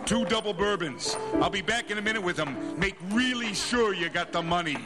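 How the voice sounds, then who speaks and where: A high-voiced, hostile male barkeep acted by a man in a stage radio drama. He is brusque and slangy, snapping out threats and sarcasm. Music sits clearly behind him. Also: moderate pace, lightly acted. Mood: menacing. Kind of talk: live radio drama acting. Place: theatre stage.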